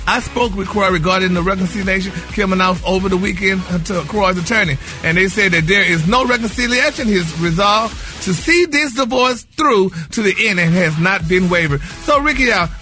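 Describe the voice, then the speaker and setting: A man's voice: sits high, fairly quick, hardly any pauses, sharp tone, soft background music. A man reporting celebrity gossip on a morning radio show, sassy and theatrical, peppering his talk with endearments. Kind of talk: gossip segment, animated casual talk.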